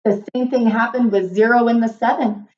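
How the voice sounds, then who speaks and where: A woman narrating a children's storybook in an expressive read-aloud style. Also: fairly high pitch, normal speed, highs cut off.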